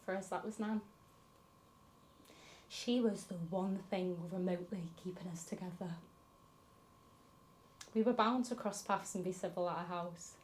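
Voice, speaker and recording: A woman's voice: fairly low pitch, unhurried, long pauses, a little mellow, slight background noise, lightly acted. A woman playing a grieving granddaughter, reflecting confessionally on her fractured family in a film monologue. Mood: wry, reflective. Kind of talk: dramatic monologue in a short film.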